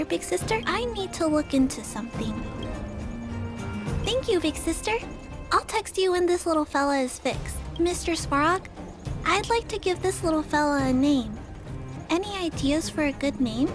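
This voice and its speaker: A very high, childlike voice for a polite, earnest little girl. She is a gentle game character who likes fixing machines, with music playing clearly behind her. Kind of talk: video game voice acting.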